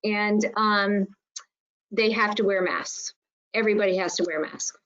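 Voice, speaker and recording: A woman's voice: medium pitch, fairly even intonation, unhurried, fairly bright tone. A woman speaking informally as a nurse on an online panel, explaining things in an emphatic way. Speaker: school nurse coordinator on a panel. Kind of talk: informal spoken presentation and discussion in an online meeting. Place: online meeting call. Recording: dull, muffled online meeting audio.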